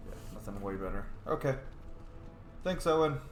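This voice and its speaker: A man's voice: medium pitch, expressive, normal speed, faint music behind. A man playing a game, casually thinking aloud and reading out his dialogue choices. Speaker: game player. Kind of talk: let's play commentary, reading dialogue choices. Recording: roomy echo.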